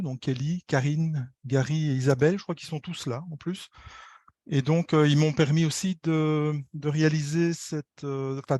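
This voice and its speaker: A man's voice: on the high side, darkish tone, no room sound. A man giving an academic presentation over a video call, hesitant and repeating words as he searches for the next. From Belgium. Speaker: architecture lecturer and researcher presenting.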